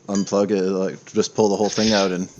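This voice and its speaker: Deep-voiced man running a tabletop game. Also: flat delivery, brisk, a little mellow, lo-fi sound, slight background noise. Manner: informal, sweary. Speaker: game master. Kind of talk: casual tabletop game narration.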